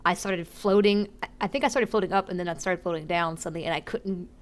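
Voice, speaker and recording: A woman streaming a game live, chatting excitedly and giggling as she plays. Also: lowish voice, quick pace. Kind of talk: casual live gameplay commentary.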